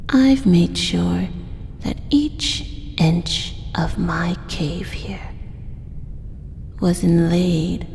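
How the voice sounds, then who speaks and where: Slow, whispery, possessive spider-woman monster, acted by a woman. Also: exaggerated voice. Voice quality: soft-spoken, breathy whispering. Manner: slow, tender, menacingly intimate coaxing. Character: possessive, doting female drider spider monster, yandere. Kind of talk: whispered ASMR audio roleplay. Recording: echoey cave-like reverb, faint rumbling noise.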